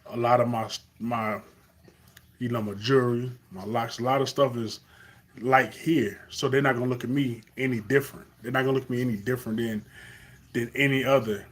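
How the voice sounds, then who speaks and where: A man's voice: medium pitch, fairly even intonation, brisk. A young man from Carolina with a Southern accent, talking earnestly off the cuff in a live stream monologue, with fillers and restarts.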